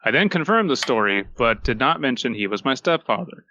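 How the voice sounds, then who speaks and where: A man's voice: medium pitch, sing-song, a little fast, sharp tone, muffled recording. A man co-hosting a podcast, reading stories aloud and bantering casually in a sardonic, sweary way. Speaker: podcast co-host.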